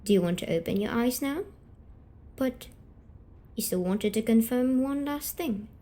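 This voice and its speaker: A female sleep paralysis demon, played by a woman, speaks in a slow ASMR roleplay. Her voice sits fairly high yet mellow, soft and breathy. She coaxes gently, with a wounded, deliberate manner. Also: expressive. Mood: coaxing, teasing.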